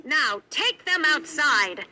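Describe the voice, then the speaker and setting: A woman voicing a cruel, bossy housekeeper in a children's storybook, shrill and scolding. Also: big pitch swings, slow, pushed and bright, slight background noise, exaggerated voice. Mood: angry, scolding. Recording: music behind the voice.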